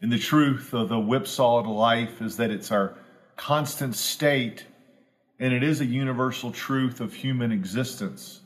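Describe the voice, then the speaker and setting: Slow, earnest pastor in his forties. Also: mid-range voice. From Southwest Virginia, US. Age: 40s. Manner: earnest, homespun, building to emphatic repetition. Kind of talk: reflective devotional talk.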